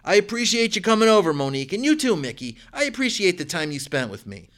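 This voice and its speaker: A man's voice: sits high, big pitch swings, quick pace, fairly bright tone, voice pushed up. A man voicing a lonely, fussy older man who is eager to please. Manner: eager, apologetic.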